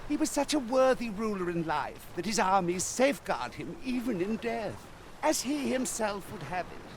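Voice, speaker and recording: Old whimsical tale teller from a fantasy game, high pitched, theatrical, noisy background. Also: male voice, sing-song, normal speed. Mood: musing, wistful. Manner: theatrical, musing, archaic phrasing. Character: whimsical old storyteller with a long memory. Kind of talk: video game character dialogue.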